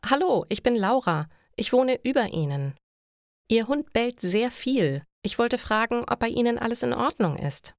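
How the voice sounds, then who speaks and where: Lady reader doing a young woman, direct helpful type, story dialogue, higher pitch, dry recording. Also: sing-song, normal speed, dry recording. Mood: polite. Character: young woman neighbour, direct, helpful, fond of crime shows. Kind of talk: German learner story dialogue.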